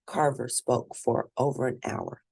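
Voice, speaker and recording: A woman reading a children's picture book aloud as host, in expressive, deliberate storytelling. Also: very deep voice, gravelly, husky.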